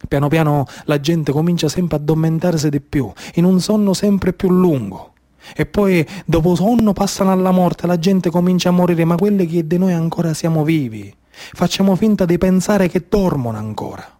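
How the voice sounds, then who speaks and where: Man acting a desperate Sicilian-accented castaway, higher-voiced. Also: moderate pace, mellow, natural voice. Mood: grim, despairing. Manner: repetitive, urgent, incantatory. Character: desperate exhausted male migrant adrift at sea. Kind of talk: theatrical radio monologue.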